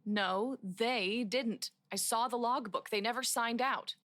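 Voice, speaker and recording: A woman's voice: on the high side, takes it slowly, fairly bright tone, dry recording, studio quality. A woman playing a troubled, defiant young patient in a radio drama, with a wounded, accusing manner. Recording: clear background noise.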